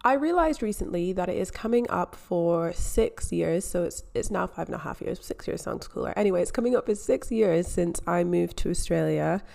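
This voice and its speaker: A woman's voice: fairly low pitch, creaky. A young Scottish woman hosting her own podcast, talking in a chatty, candid way with self-deprecating asides. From Scotland. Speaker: podcast host. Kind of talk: casual solo podcast monologue.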